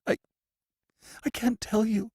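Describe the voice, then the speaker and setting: Terrified, sobbing prisoner: high, cracking, stammering pleas in a dry booth. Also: male voice, steady tone, slow, a little mellow, breathy edge, dry recording, exaggerated voice. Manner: stammering, pleading. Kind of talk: audiobook character voice.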